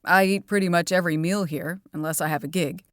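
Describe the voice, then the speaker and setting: A woman's voice: on the low side, steady tone, fast talker, dry recording, clean studio sound, close to an everyday voice. A woman voicing a laid-back teenage boy, Knox. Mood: easygoing.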